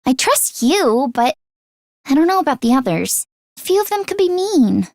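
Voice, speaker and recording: High pitched fretful teen girl for a video game, sweet n easily flustered, dry close sound. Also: moderate pace, no room sound. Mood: scared, insecure. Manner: expressive, fretful, earnest. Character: anxious teenage girl mechanic, self-deprecating, sweet, easily flustered. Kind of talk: video game character voice acting.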